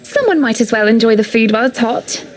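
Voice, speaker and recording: A woman's voice: fairly high pitch, lively intonation, fast talker, slight background noise, close to an everyday voice. A woman playing a refined, insistent married lady of a grand house in a visual novel, speaking in a genteel, formal way. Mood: gracious, inviting. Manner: genteel, formal, gently commanding.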